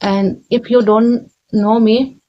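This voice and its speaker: A young woman from Chhattisgarh with an Indian accent, whose first language is Chhattisgarhi, talking in halting learner's English at home, often correcting herself and adding "right?". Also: on the high side, fairly even intonation, dull audio. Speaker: English learner vlogger and tutor. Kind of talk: unscripted personal talk. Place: home in a village.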